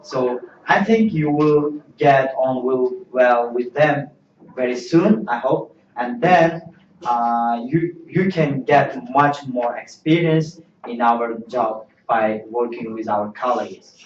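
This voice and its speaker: A man's voice: mid-range voice, slow, slight background noise. A man playing an interviewer in a mock job interview, asking simple questions in non-native English. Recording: distant, roomy, dull lo-fi sound.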